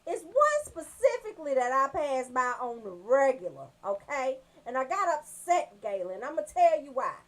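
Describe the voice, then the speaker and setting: Female radio host. High and highly animated, brash, sweary comic storytelling. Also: normal speed, few pauses.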